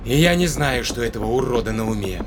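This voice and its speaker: A man's voice: mid-range voice, lively intonation, fast talker, background noise, close to an everyday voice. An actor playing a self-assured male spaceship commander in an audio drama, speaking in an offhand, matter-of-fact way. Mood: offhand, reassuring.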